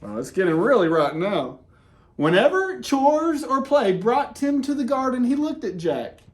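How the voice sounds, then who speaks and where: A man reading a children's picture book aloud in an expressive way, with dramatic pauses and casual, conversational delivery. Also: extremely high pitch. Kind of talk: animated read-aloud with casual asides.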